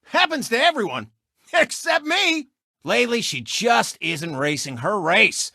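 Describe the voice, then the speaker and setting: Gloating male race car sportscaster, video game, showy announcer delivery, forceful bright tone, very dry close recording. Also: sits high, sing-song, unhurried, vocal fry, dry recording, heavily acted. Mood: mocking.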